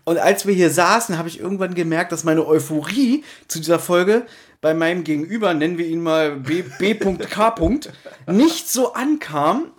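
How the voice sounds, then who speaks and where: A man's voice: high voice, expressive, normal speed. A man co-hosting a podcast in casual, rambling banter with a teasing, mock-serious tone. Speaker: podcast co-host. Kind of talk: casual banter about audio dramas.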